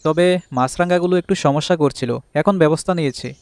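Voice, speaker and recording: Higher-pitched village husband played by a man, noisy birdsong. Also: quick pace, close to an everyday voice. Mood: practical, upbeat. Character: village husband of two wives, fish farmer, peacemaking. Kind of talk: Bangla cartoon voice acting.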